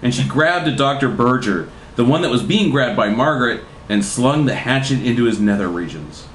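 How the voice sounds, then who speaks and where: A man reads a comic story aloud with dramatic, deadpan timing and very lively intonation. His medium-pitched voice sounds in a roomy, echoing space with faint noise. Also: moderate pace. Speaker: reader. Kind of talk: live comic story reading.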